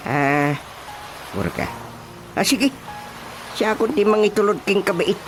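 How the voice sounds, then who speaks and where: A man's voice: high voice, animated, measured pace. A man with an Ilocano Filipino accent playing a flustered, hesitant village chairman in a radio drama, full of exclamations. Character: flustered, easily startled male village chairman. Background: music.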